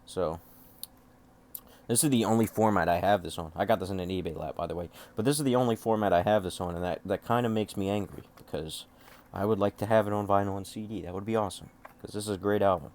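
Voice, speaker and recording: A man's voice: deep voice, fast, a little mellow, slight background noise. A man talking casually and offhandedly as a collector showing off his things, rambling and swearing freely. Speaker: music collector showing off cassette tapes.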